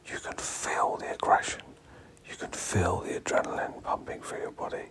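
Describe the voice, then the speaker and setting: A man guiding a meditation in a breathy whisper, speaking in short, hypnotic phrases with pauses and repeated words. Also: low-pitched, sharp tone. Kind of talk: guided meditation, hypnotic and repetitive.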